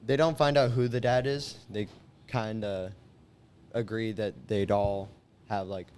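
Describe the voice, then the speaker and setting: A young man in his late teens with a slightly low voice talks casually as a guest. He explains things loosely and informally. There is faint noise behind him. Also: steady tone, unhurried, a little mellow. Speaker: high school senior guest. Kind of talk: casual chat.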